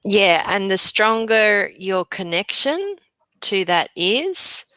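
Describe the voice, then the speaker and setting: A woman with a gravelly voice co-hosting a casual live chat, agreeable and chatty. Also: mid-range voice, big pitch swings, takes it slowly, sharp tone, no room sound. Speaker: livestream co-host.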